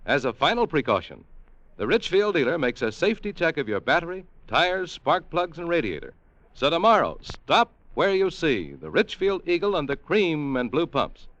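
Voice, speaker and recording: A man's voice: fairly high pitch, very animated, normal speed, fairly bright tone, no echo, muffled recording. An American man reading scripted announcements and sponsor copy as a polished 1950s radio announcer.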